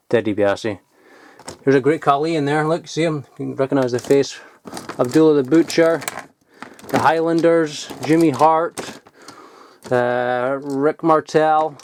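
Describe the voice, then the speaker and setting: Excitable young man rambling over toys. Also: a little high, a little hiss or hum. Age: 20s. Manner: excitable, rambling, swears casually. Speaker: toy collector vlogger. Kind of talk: casual unboxing chat.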